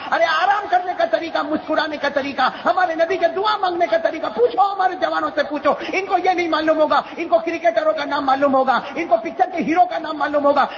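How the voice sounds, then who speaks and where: A man with a strikingly high voice preaches to a gathering, repeating each phrase and calling questions out to the listeners. The recording sounds dull and lo-fi. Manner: repetitive, rhetorical, calls out questions to the crowd. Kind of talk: religious speech to a gathering. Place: religious gathering.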